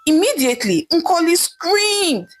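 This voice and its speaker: A woman narrating a scripted folktale in a dramatic, expressive storytelling manner. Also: very high-pitched, measured pace. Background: background music.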